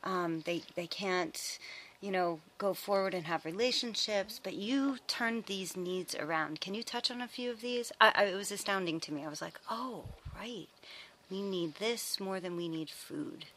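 A woman's voice: medium pitch, moderate pace, fairly bright tone, a little hiss or hum. Chatty woman podcast host. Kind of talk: interview chat. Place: outdoors at a summer conference.

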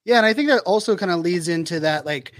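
A man's voice: high voice, lively intonation, fast, a bit bright, dry recording, studio quality. A man in his forties hosting a podcast, chatty and upbeat with lots of fillers and restarts. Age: 40s. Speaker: podcast host. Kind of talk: casual interview chat.

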